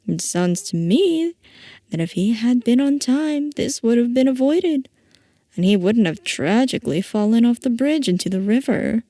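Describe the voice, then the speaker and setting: A woman's voice: on the high side, expressive, normal speed, dark tone, no room sound, clean studio sound. A woman playing a playful, theatrical mad-scientist villain boss in a roleplay audio, coy and mock-innocent as she teases. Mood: amused, teasing. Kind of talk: scripted roleplay audio, ASMR-style character acting.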